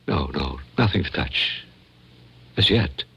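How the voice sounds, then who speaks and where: An actor playing an older, urbane, menacing scientist in 1940s radio style, with measured, mocking politeness and a superior air. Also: male voice, low-pitched, expressive, vocal fry, fairly bright tone, slightly rough, heavily acted. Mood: smug, coolly polite.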